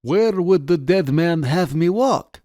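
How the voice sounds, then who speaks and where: Man voicing a menacing, high-pitched Russian officer. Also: lively intonation, takes it slowly, dark tone, no echo, studio quality. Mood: defiant. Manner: condescending, mockingly formal, domineering. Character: menacing Russian party officer, stern adult soldier.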